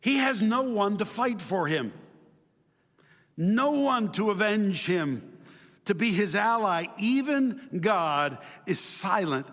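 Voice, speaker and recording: A man with a slightly high voice preaches to a congregation in a large, echoing hall. He moves between scripture and personal storytelling, repeating key phrases for emphasis. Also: fairly bright tone. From Chile. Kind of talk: sermon with personal stories and scripture readings.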